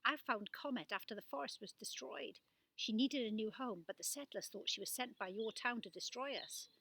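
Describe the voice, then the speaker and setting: A woman's voice: fairly high pitch, normal speed, creaky, a bit bright, breathy edge, exaggerated voice. A woman voicing a frank, loyal mountain boy in a storybook, speaking directly and expressively. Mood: guarded, friendly. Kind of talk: storybook character voice.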